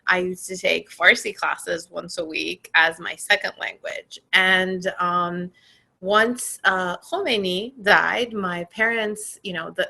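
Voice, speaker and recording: A woman in her forties, raised between Iran and California, telling her career story in a relaxed interview with frequent pauses and fillers. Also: on the low side. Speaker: podcast guest, tech program manager. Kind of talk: conversational interview.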